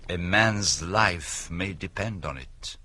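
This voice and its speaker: Deep-voiced, courteous, probing detective. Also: male voice, lively intonation, pushed and bright, full character voice. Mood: earnest, persuasive. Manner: courteous, hesitant, deliberate questioning. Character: polite, probing male detective with foreign courtesy. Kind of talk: radio drama acting.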